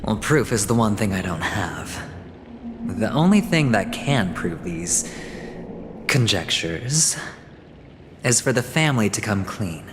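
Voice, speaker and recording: A man voicing a suave, sly young gambler in a video game cutscene, with smooth, theatrical, sardonic delivery. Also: fairly low pitch, animated, slow pace, long pauses, background noise. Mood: confiding, wry. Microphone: close studio voice recording. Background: game music.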